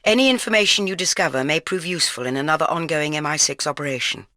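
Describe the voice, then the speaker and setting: A woman's voice: low-pitched, fast, bright, forceful tone, slight background noise. A woman playing a stern British spy chief, giving clipped, formal orders as video game mission briefings. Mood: stern, composed.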